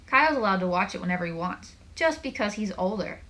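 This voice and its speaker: A woman's voice: medium pitch, animated, fast, a bit bright, lightly acted. A woman voicing a fed-up young schoolboy in a children's storybook, grumbling with an emphatic, complaining manner. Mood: resentful.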